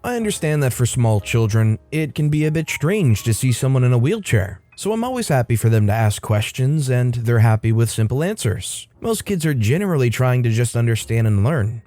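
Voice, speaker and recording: Mellow-voiced man reading a Reddit story. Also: mid-range voice, big pitch swings, moderate pace, hardly any pauses, no echo, faint music behind. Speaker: story narrator. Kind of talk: reading a Reddit post aloud.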